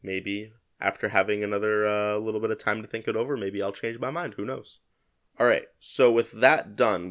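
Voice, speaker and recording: A man with a somewhat low voice hosts his podcast alone, a touch congested from a head cold. He talks in a laid-back, rambling way, restarting sentences and correcting himself as he goes. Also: fairly bright tone. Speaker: podcast host. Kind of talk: casual solo podcast talk.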